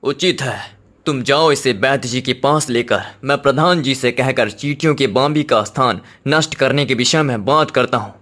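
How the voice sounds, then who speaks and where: A man's voice: mid-range voice, normal speed, a bit bright, lightly acted. A man playing a commanding Indian king with a formal, authoritative manner in a children's cartoon story. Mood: concerned, resolute. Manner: formal, authoritative, giving orders. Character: commanding Indian king, fond of his elephant.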